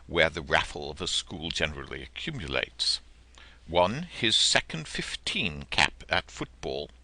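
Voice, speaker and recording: A man narrating a literary story as an audiobook, with an expressive, dramatic storytelling manner. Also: low-pitched, gravelly, dry recording.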